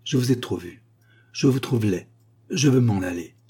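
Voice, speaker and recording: A man's voice: mid-range voice, animated, normal speed, dark tone, slightly rough, pitched above the performer's own voice. A man voicing an irritable ancient Egyptian mummy who scolds and insults in a raised voice. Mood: irritated. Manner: scornful, peevish scolding.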